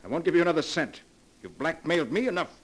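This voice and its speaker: A man's voice: on the high side, expressive, fast, breathy edge. An actor playing a guilt-ridden, brooding man haunted by a killing in an old-time radio drama. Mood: angry. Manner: tense, anguished radio acting.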